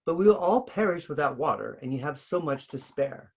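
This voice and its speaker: Guy doing wise old storybook tree, gentle and earnest, a bit up in pitch from his narrating. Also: animated, a little fast, husky. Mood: earnest pleading. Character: ancient, gentle, wise talking trees of the forest. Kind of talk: storybook read-aloud.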